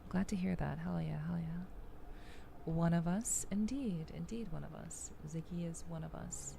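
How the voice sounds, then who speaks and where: A woman's voice: on the low side, moderate pace, vocal fry, mellow, slight background noise. A woman streamer chatting casually to her live audience, thinking aloud and often repeating her words. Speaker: VTuber streamer. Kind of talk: casual livestream chat.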